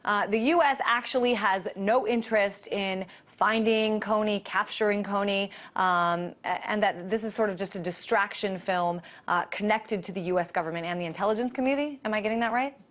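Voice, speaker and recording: An American woman hosting a conversational news interview, speaking in a measured, questioning and hedging manner. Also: mid-range voice, a bit bright.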